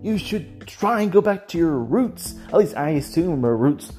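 Mellow soft-edged voice, mid pitch, casual host, rambling and hesitant solo commentary, faint music behind. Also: animated, normal speed.

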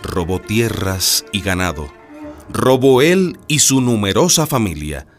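A man's voice: fairly low pitch, big pitch swings, measured pace. A man as the solemn narrator of a historical radio drama, reading a scripted account. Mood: solemn narration. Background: music.

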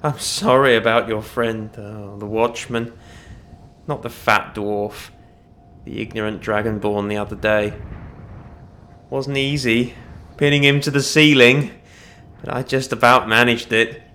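A man voicing a cruel, mocking dark elf villain in a fantasy audio story, with a drawn-out, taunting delivery. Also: medium pitch, fairly even intonation, a little hiss or hum.

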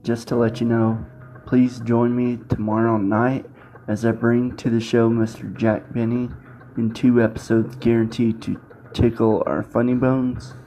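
Slow, even-toned male podcast host. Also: medium pitch, faint music behind, poor audio. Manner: informal, addresses listeners as guys. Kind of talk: casual podcast outro.

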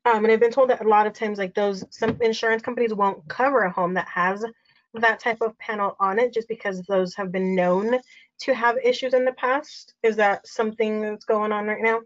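A woman's voice: medium pitch, steady tone, moderate pace. An American woman chatting casually as an interviewer, informal and rambling, with an anecdotal way of talking. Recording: slightly dull, lo-fi call audio.

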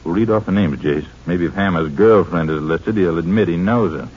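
An actor playing a skeptical, hard-pressing county sheriff with a blunt, accusatory manner in a 1950s radio crime drama. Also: male voice, low voice, brisk, darkish tone, husky. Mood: accusing, brisk. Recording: dull old radio recording, faint noise.

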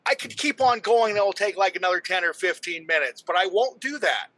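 A man's voice: sits high, lively intonation, brisk, sharp tone, no echo. A male radio host interviewing a guest in an upbeat, chatty way, laughing easily.

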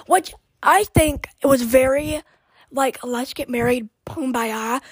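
A young woman with a high, raspy voice hosts a casual podcast. She talks in a loose, scattered way, often slipping into whispered asides. The sound is dry and close. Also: slow, dry recording. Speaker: podcast host. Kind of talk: casual rambling chat.

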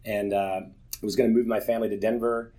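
A man's voice: lowish voice, flat delivery, fast, warm tone. A man in his forties giving an earnest, candid personal testimony to a church group, telling stories with self-deprecating humor. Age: 40s.

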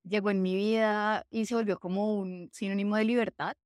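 A woman's voice: medium pitch, steady tone, moderate pace, a little mellow, dry recording. Chatty young woman, dry sound. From Colombia. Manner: chatty, full of fillers like "o sea" and "pues". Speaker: guest, café co-founder. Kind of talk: casual conversational interview.

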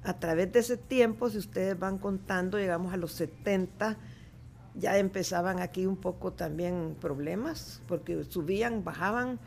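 A woman's voice: lowish voice, moderate pace, vocal fry, a little mellow, slight background noise. A woman in her seventies from El Salvador with a Salvadoran accent, a guest chatting reflectively in a studio interview. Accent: Salvadoran Spanish. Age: 70s. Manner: reflective, chatty, laughs easily. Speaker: guest artist. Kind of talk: casual interview chat.

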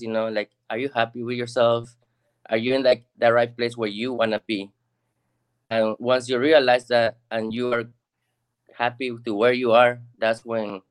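A man's voice: mid-range voice, smooth. A man from Peru as a guest on a video-call interview, earnest and modest, with many casual fillers.

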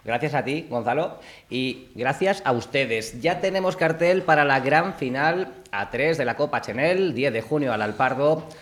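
A man's voice: mid-range voice, normal speed, hardly any pauses. A man hosting a talk show, chatting casually and teasing his guests as he wraps up. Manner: jovial, teasing, thanks guests warmly. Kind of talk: casual chat, wrapping up the show.

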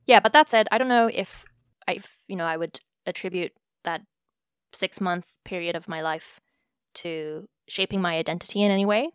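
A woman's voice: fairly low pitch, clear, resonant tone, no room sound, studio quality. A young woman from South Africa, a podcast host answering questions in a casual, reflective chat. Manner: measured, reflective, with soft fillers. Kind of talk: casual conversation between sisters.

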